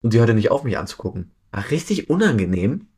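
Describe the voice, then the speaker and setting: A young man from Berlin co-hosting a podcast, telling anecdotes casually in slangy, colloquial language with animated delivery. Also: lowish voice, fast, husky. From Berlin, Germany. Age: young adult. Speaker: podcast co-host.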